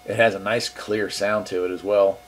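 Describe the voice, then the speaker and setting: Low-voiced man demoing gear, background hum. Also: little pitch movement, normal speed. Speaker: host reviewing radio gear. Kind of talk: casual product demo.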